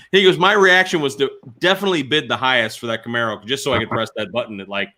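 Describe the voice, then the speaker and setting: A man chatting casually and telling stories in an animated, informal way. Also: medium pitch, quick pace. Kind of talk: casual storytelling chat.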